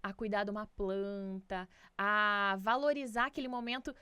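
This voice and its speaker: A woman's voice: medium pitch, steady tone, moderate pace, bright, forceful tone, clear, resonant tone, no room sound. A woman with a Brazilian accent speaking as an interview guest, casually and emphatically giving opinions and checking in with tag questions. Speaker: podcast guest, doctor.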